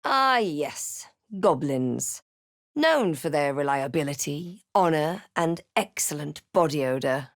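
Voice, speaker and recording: A woman playing a snarky young female adventurer in a video game, with a measured, dry and dramatic scripted delivery. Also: low-pitched, expressive, no room sound, exaggerated voice. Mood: sarcastic. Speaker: video game player-character voice actor. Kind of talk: scripted game voice lines, narration and spell incantations.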